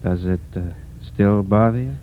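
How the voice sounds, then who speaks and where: Cocky young crook, low voice male actor. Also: normal speed, close to an everyday voice. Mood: cocky, scheming. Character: cocky, scheming young male parole jumper. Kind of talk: 1940s radio acting. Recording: narrow, noisy 1940s radio recording.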